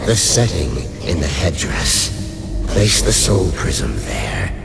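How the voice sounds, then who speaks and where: A man playing an ancient undead archlich in a dark fantasy radio play, cold, commanding and disdainful, with a gravelly, imperious delivery. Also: sing-song, full character voice. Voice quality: very deep, gravelly. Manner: slow, imperious, dismissive. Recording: loud ominous music behind. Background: dark scary music.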